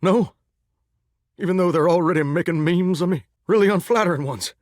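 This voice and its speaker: A man's voice: sits high, fairly quick, no echo, clean studio sound, lightly acted. A man with a Southern US accent voicing a folksy, earnest, starry-eyed singing shower in a dating game, drawling homespun sayings. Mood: crushed, dejected. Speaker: voice actor in a dating sim game. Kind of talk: expressive video game character dialogue.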